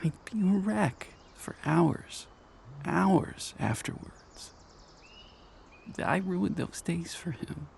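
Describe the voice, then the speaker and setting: An actor playing a middle-aged father in an emotional drama, tender and halting, sad about his grown son leaving. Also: fairly high pitch, lively intonation, creaky, slight background noise, exaggerated voice. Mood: regretful, sorrowful. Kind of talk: emotional audio drama dialogue.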